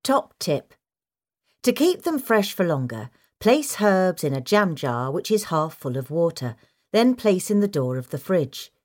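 A woman's voice: fairly low pitch, very animated, measured pace, darkish tone. A woman reading a scripted instructional piece as a narrator. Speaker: narrator reading a gardening article.

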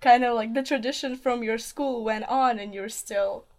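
Young female podcast host from russia, bright high voice, playful teasing. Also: steady tone, normal speed. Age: young adult. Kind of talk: casual interview chat.

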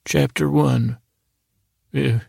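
A man with a heavily creaky, gravelly voice delivers a meandering bedtime monologue. His pitch moves very little, and he keeps halting and interrupting himself with droll, silly tangents. The sound is close and dry, with faint music behind him. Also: fairly even intonation, a little mellow, no room sound. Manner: halting, meandering, self-interrupting, droll mock-serious silliness. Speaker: podcast host.

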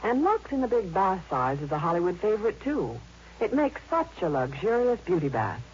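A woman's voice: medium pitch, very animated, normal speed, darkish tone. A woman reading a scripted 1950s radio advertisement. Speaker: commercial announcer. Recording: muffled vintage radio, faint noise.

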